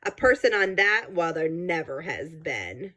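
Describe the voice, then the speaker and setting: A woman's voice: low voice, very animated, a little slow, bright, forceful tone, full character voice. A scornful mother kangaroo from a children's story, read by a woman. She is sour and dismissive. Her words come out in emphatic huffs, raised above an ordinary reading voice.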